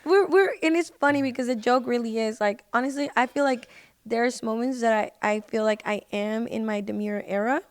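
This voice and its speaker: A woman's voice: a little high, fairly quick, no room sound. A woman podcast guest chatting casually in a laid-back way, with slangy hip-hop phrasing. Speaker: guest, musician and social media personality. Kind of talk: casual chat. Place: podcast recording.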